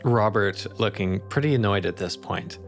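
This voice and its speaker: A man's voice: fairly low pitch, moderate pace, gravelly, faint music behind. A man acting as game master, casually improvising the narration of a tabletop role-playing game.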